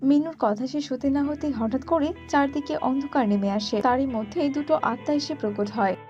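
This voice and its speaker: Woman storyteller, high pitch, scripted cartoon narration, slightly muffled. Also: fairly quick, dark tone. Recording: muffled audio with background music.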